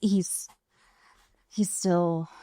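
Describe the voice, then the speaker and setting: A woman's voice: fairly low pitch, fairly even intonation, very slow, dark tone, dry recording, clean studio sound. A woman podcast co-host chatting casually as she recaps a show, acting out bits of dialogue and swearing freely.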